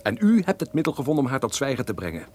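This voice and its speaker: An actor playing a gruff, surly henchman with a murderous secret, curt and cold in an old-fashioned radio drama. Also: mid-range voice, wildly swooping pitch, racing. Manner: curt, cold, insolent, theatrical. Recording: noisy old radio play recording.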